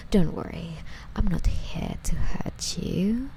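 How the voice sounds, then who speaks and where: A woman playing an obsessive, sweetly menacing female stalker in an ASMR roleplay, coy and breathy, often slipping toward a whisper as she coddles her captive. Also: fairly low pitch, lively intonation, takes it slowly, mellow, full character voice. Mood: mock-sweet menace.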